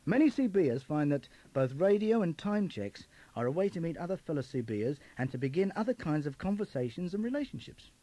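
A man's voice: a little high, sing-song, brisk, hardly any pauses, mellow, poor audio. A man reading a scripted narration for an instructional tape.

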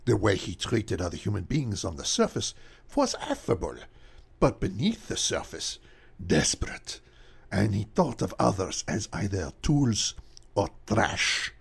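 A man narrating a radio mystery drama in an ominous, measured way. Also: lowish voice, very animated, gravelly, slightly rough.

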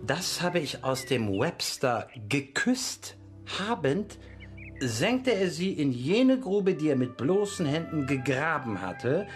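A slow, earnest amateur-author constable. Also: male voice, fairly high pitch, big pitch swings, soft background music. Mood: melodramatic, earnest. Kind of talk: old German radio play acting.

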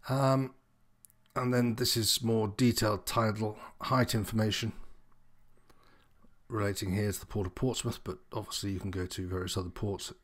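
A man giving an informal, unscripted walkthrough as an instructor, explaining things in a slightly hesitant, meandering way. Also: lowish voice. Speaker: sailing instructor presenting tutorial.

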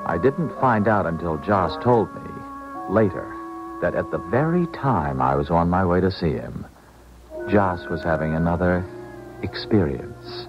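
Deep-voiced, expressive ex-cop. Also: male voice, music in the background. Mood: reflective. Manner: measured, reasoning. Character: hard-headed ex-police officer, loyal friend and narrator. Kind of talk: 1970s radio drama acting and first-person narration. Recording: dull 1970s radio recording.

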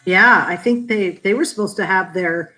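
A woman's voice: medium pitch, sharp tone, rough recording. A woman in her fifties chatting casually on a video call from home, upbeat and supportive of her co-host. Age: 50s. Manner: upbeat, supportive, quick to agree. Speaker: guest co-host. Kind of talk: casual live chat. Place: home room.

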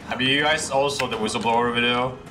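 A young man casually commentating a horror game he streams, breaking into high surprised laughs. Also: steady tone, fast, background noise. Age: young adult. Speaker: gaming streamer. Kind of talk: casual let's-play commentary. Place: room at a desk with a gaming chair. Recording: game music playing behind. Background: game music and keyboard typing.

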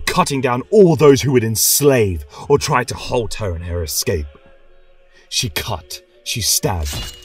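A man narrating a scripted fantasy tale as a podcast storyteller, solemn, dramatic and measured. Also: on the low side, husky, recorded from a distance. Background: choral music.

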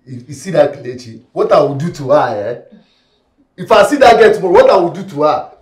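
A man's voice: a little high, expressive, brisk, darkish tone, close to an everyday voice. A man with a Nigerian accent acting a devoted, protective, hot-tempered young husband in a film drama. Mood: agitated, angry. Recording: echoey room, faint background noise.

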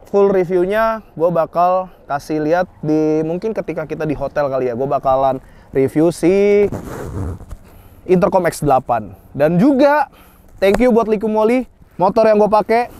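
A man's voice: on the high side, a little mellow. A young man chatting casually to camera as a motorcycle vlog host. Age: young adult. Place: outdoors in an open grassy area. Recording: outdoor ambience with noise.

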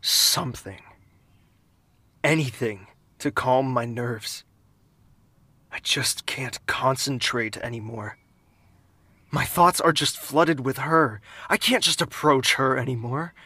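A man's voice: mid-range voice, slow pace, long pauses, pushed and bright, breathy edge, no echo, full character voice. An actor playing an anxious, lovesick young man brooding through an audio drama monologue. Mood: anxious, desperate. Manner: tense, brooding.

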